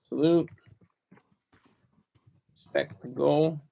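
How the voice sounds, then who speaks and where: A man hosts a casual livestream from home, talking in a deliberately hushed, lowered voice. His tone is gravelly with a slightly raised pitch for a man, and he often stumbles and repeats words as he chats with viewers. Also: fairly even intonation. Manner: deliberately low, hushed delivery. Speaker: livestream host. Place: home, room indoors.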